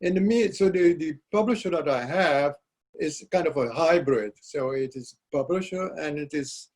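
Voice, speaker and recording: An older man whose first language is Dutch, a poet being interviewed, speaking reflectively and pausing often to search for words. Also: a little high, a little mellow. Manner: halting, searching for words, frequent restarts. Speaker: poet guest.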